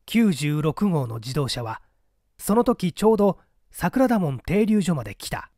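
A man narrates a mystery tale as a practised reader would. His voice sits a little high and moves through a wide, animated range. The sound is dry, and the delivery is expressive and dramatic. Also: measured pace, dry recording. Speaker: audiobook reader, small-theatre actor.

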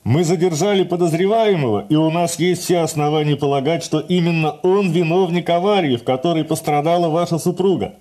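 An actor playing a courteous, businesslike police officer making a formal call in a radio drama. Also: male voice, fairly high pitch, a little fast, few pauses, mellow, natural voice.

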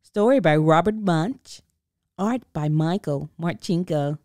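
A woman reading a children's book aloud with expressive, deliberate storytelling. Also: sits low, dry recording, studio quality. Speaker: storybook reader. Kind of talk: children's read-aloud narration.

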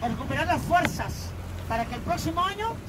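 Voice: sits high, animated, fairly quick, far from the mic. A tour guide with a Peruvian accent giving live, explanatory commentary outdoors on an open boat. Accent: Peruvian Spanish. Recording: loud boat motor noise, crowded open-air recording. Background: motorboat engine, people around.

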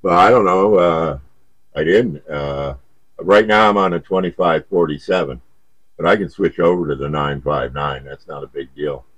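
Low husky guy chatting on cb radio, easygoing and folksy, dry muffled sound. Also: normal speed, fairly bright tone, no room sound. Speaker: CB radio operator. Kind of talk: casual radio contact chat.

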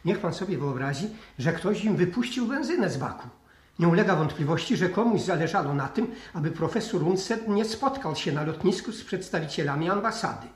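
A man's voice: sits high, lively intonation, a little fast. An actor playing a matter-of-fact officer reporting to his superiors in a 1960s radio drama. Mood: matter-of-fact, explaining. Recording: roomy vintage radio studio recording.

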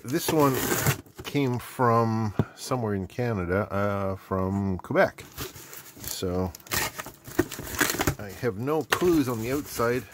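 A man's voice: lowish voice, expressive, takes it slowly, pauses often, very noisy. A middle-aged man giving casual unboxing commentary, rambling and thinking aloud as he goes. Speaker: host. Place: indoor hobby workbench.

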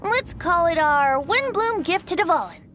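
A woman's voice: very high voice, lively intonation, measured pace, background noise. A woman voicing a carefree, teasing young male bard in a video game, light and boyish with a lilting delivery. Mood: breezy, wistful. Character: playful, carefree youthful male bard, secretly wise. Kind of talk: video game character acting.

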